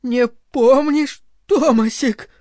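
A man's voice: extremely high pitch, animated, takes it slowly, no room sound, pitched above the performer's own voice, full character voice. A man voicing an aging, desperate woman with a trembling, pleading, clinging manner, in an audiobook. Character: aging, desperate, tearful woman pleading with her former lover.

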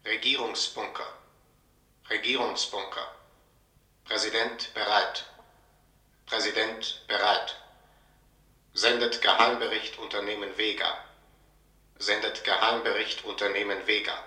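A man's voice: lowish voice, level tone, measured pace, pauses often, bright, forceful tone. A man playing a stern, impersonal announcer in a 1950s radio play, in clipped, formal phrases. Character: stern, impersonal government bunker announcer sending secret reports. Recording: echoey vintage 1950s radio recording.

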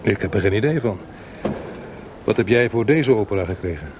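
An actor playing a seasoned, wry composer in a Dutch radio play, speaking frankly and with measured, gently probing delivery. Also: male voice, on the low side, expressive, slight background noise, lightly acted. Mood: frank, resolved.

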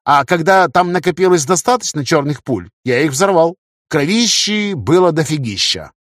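A man's voice: on the high side, animated, normal speed, fairly bright tone, dry recording, studio quality, pitched above the performer's own voice. A man voicing a chatty, boastful young fighter in an audiobook, talking in a loose colloquial way. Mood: animated bragging. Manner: colloquial, ends phrases with a verbal tic. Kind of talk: audiobook character dialogue.

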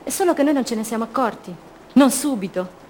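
A woman's voice: fairly high pitch, animated, a little fast, a little mellow. An actress playing a fragile, haunted captive woman in a radio drama, speaking in short fragments and childlike repetitions. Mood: anguished, reflective. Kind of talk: theatrical monologue, radio drama.

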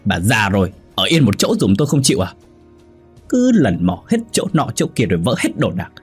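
A man's voice: lowish voice, animated, normal speed, warm tone, slightly rough, faint music behind. A man voicing an irritable, henpecked grown son in a narrated story, snapping and growling. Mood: angry.

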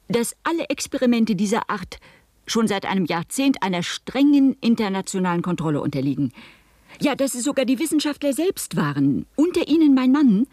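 Precise female witness, radio play. Mellow, measured, formal delivery, very dry sound. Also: medium pitch, no room sound. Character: composed educated female witness, a scientist's wife, precise. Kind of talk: 1970s German radio play acting.

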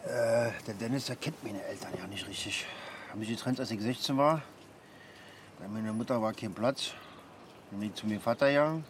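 A man acts a middle-aged Berlin father in a naturalistic radio play. He talks colloquially and haltingly, an easygoing rambler at an average pitch. Clear street noise sits behind him. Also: close to an everyday voice. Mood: reminiscing.

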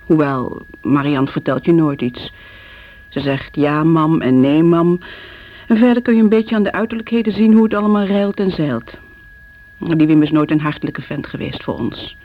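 A woman's voice: low voice, a little hiss or hum, natural voice. An actress playing an older mother in a radio drama, fretful and judging, confiding her family worries in a Netherlands Dutch accent. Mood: reproachful. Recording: dull radio recording, faint music.

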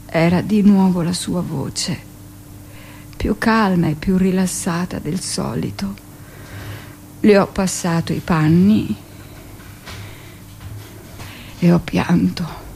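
An actress playing a middle-aged village-born midwife in an intimate, expressive theatrical monologue, with dramatic pauses. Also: female voice, lowish voice, creaky, slight background noise. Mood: hushed, moved. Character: 45-year-old village-born midwife, recounting childhood, emotional, earnest.

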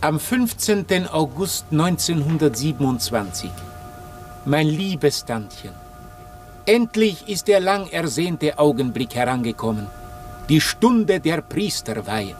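An effusive young nephew in a radio play, reciting letters to his aunt. His voice sits fairly high and swoops expressively through florid, pious phrases, with faint music behind it. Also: sing-song, slow pace. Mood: gushing, pious. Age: young adult. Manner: florid, flowery, unctuous phrasing. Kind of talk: German radio play acting, letters read aloud.

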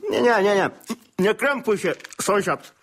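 An actor playing an obliging gentleman neighbour in a radio play, effusively polite and repeating his words. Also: high voice, lively intonation, unhurried, slight background noise, heavily acted. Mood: polite, reassuring. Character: courteous, obliging gentleman neighbour paying a visit. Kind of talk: Polish radio play acting.

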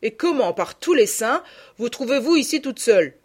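A woman's voice: on the high side, sing-song, moderate pace, slightly rough, pitched above the performer's own voice. A woman voicing a good-natured country butcher with homely, folksy speech in an audiobook. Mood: kindly. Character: good-natured country butcher, grown man, gruff and kind. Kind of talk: audiobook character voice.

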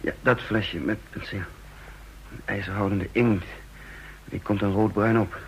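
Tense, low-voiced wounded secret agent. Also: male voice, fairly even intonation, unhurried, a little hiss or hum, rough recording. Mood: businesslike, strained. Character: tense, wounded male secret agent narrating his own mission. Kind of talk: radio drama acting and first-person narration.

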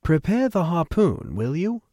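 A man's voice: sits high, sing-song, normal speed, no room sound, studio quality, pitched above the performer's own voice. A man voicing an imperious, impatient rich man in command of his yacht in an audiobook, speaking in a commanding, theatrical way. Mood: calmer command. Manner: commanding, theatrical, grandly jovial. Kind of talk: audiobook character acting.

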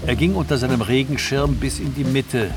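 A man's voice: mid-range voice, fairly quick, loud music behind the voice. A man reading scripted third-person narration for a radio drama.

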